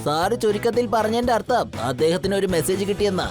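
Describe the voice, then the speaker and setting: Fast-talking officious assistant. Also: male voice, high voice, lively intonation, loud music behind the voice. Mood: matter-of-fact. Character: brisk, officious cartoon assistant explaining his boss's words. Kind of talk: dubbed children's cartoon acting.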